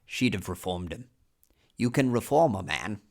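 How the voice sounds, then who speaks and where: An actor playing a blunt, plainspoken older male neighbor in a dramatized audiobook, talking in an unhurried, reflective way. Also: on the low side, expressive, gravelly.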